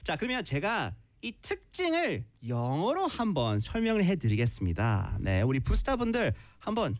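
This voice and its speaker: A man co-hosting a live stream, speaking Korean and switching into slow, clear English to explain things to viewers. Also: fairly high pitch, huge pitch swings, no room sound. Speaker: co-host teaching English.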